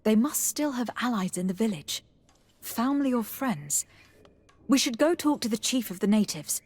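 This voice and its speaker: A courteous young noblewoman envoy in a video game, with a fairly high voice. She speaks formally and with measured composure, always probing politely. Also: expressive, a little mellow, breathy edge. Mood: measured, inquiring. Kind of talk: video game dialogue acting.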